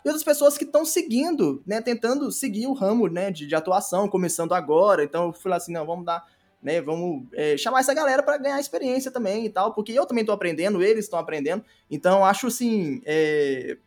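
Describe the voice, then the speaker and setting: Brazilian male podcast guest, high-pitched, rambling casual talk, light music behind. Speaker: guest, audio drama creator and narrator. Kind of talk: casual interview chat.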